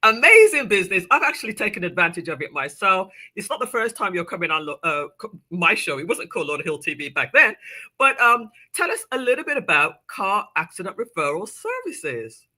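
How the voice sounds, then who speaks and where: A woman's voice: medium pitch, big pitch swings, a little fast, bright, forceful tone. A woman hosting a local TV interview show, chatty and welcoming, often laughing. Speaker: host.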